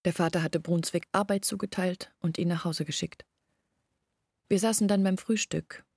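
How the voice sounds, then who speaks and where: A woman playing an embittered young villager who confides her family's disgrace in an earnest, measured way in a radio drama. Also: lowish voice, steady tone, a little mellow, slightly rough, dry recording, clean studio sound. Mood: reflective, recounting. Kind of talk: intimate literary radio drama storytelling.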